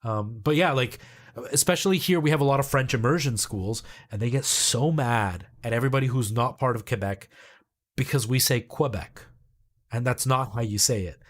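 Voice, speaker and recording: A man's voice: mid-range voice, a little fast. A man from Canada with a generic Canadian English accent, speaking English as a second language and chatting casually as he streams a video game. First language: not English. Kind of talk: casual livestream chat.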